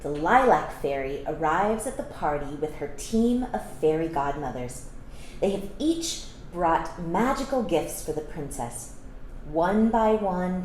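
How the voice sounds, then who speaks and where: A woman with a slightly low voice reads a children's story aloud as a storytime reader. She speaks in a gentle, teacherly way to young listeners. The room sounds very echoey, with some faint noise in the recording. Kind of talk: read-aloud children's storytelling with explanatory asides. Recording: roomy echo, some background noise.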